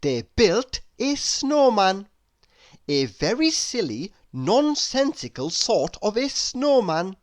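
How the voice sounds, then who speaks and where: A woman's voice: medium pitch, very animated, slow pace. A woman reading a children's story aloud expressively, putting questions to the listener. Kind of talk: children's read-aloud narration.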